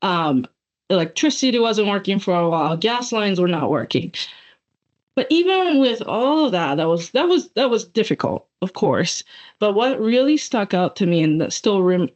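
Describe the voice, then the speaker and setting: A woman's voice: lowish voice, lively intonation, normal speed, darkish tone. A woman in her forties from Japan, giving a webinar lecture as a guest professor in a chatty way, with many fillers and self-corrections. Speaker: guest speaker, associate professor. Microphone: computer mic on video call. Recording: dull lo-fi call audio.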